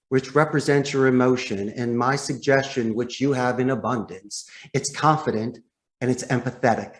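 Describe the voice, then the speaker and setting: A man with a mid-pitched voice coaches phone sales as a guest trainer. He is emphatic and demonstrative, acting out example lines. He addresses the listener directly, with a salesman's habit of posing questions and answering them. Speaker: podcast guest, call center sales trainer.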